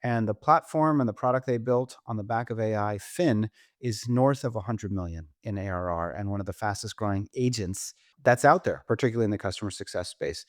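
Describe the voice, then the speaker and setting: A man's voice: lowish voice, brisk, few pauses, no echo. Man podcast host with emphatic delivery, dry sound. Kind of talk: conversational business podcast discussion.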